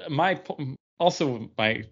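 A man co-hosting a podcast, debating casually and often rephrasing haltingly mid-thought. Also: mid-range voice, animated, husky. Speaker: podcast co-host. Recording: dull lo-fi audio.

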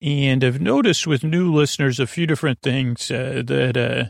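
A man hosting a sleep podcast, talking in a creaky, slow, meandering bedtime monologue full of tangents and restarts. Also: mid-range voice, no room sound, clean studio sound.

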